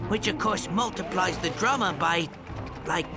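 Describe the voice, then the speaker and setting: An actor playing a meek robot pirate first mate who narrates a story, eager to please and a bit nervous. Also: male voice, high-pitched, measured pace. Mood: storytelling. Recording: game audio with music behind.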